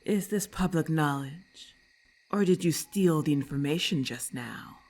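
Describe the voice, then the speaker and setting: Woman voicing a slow, low, haughty lamia monster. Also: a little mellow. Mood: probing, commanding. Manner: slow, deliberate, imperious, condescending. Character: haughty, predatory female lamia monster, menacing and disdainful. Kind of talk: ASMR roleplay acting.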